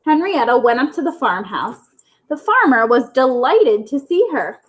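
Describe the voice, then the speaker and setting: Animated woman reading a children's story. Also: sits high, moderate pace, rough recording. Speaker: school story-time reader. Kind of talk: expressive children's storybook narration.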